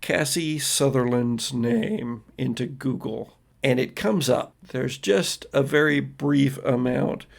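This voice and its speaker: A man with a gravelly voice narrating a tabletop role-playing game as game master, in an informal, halting, conversational way. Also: mid-range voice. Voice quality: gravelly, creaky.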